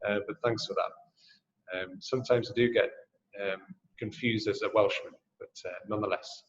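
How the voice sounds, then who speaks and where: A man from Newcastle with a Geordie accent, hosting a webinar and making a friendly sales pitch full of ums and uhs. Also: steady tone, normal speed. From Newcastle, England. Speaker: webinar host and drone sales trainer. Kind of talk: presenting a webinar and answering questions. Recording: echoey, dull webinar audio.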